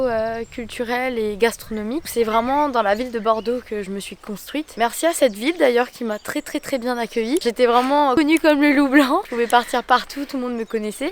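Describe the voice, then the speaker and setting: A woman in her thirties from Mauritius, talking through an interview in a relaxed, halting way and often pausing on fillers. She is recorded outdoors, and faint birdsong and rural noise can be heard behind her. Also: on the high side. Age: 30s. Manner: hesitant, many fillers and restarts. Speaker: interview guest, entrepreneur. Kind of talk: casual interview answers.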